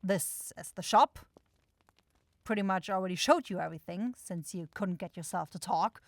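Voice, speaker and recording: A woman's voice: on the low side, normal speed, vocal fry, no room sound. Woman voicing a grumpy, sarcastic demon shopkeeper, dry close sound. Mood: irritated, dismissive. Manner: blunt, irritable, exasperated, swearing. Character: grumpy, sarcastic demon goat-eyed shopkeeper sister, sharp-tongued. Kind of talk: scripted ASMR fantasy roleplay.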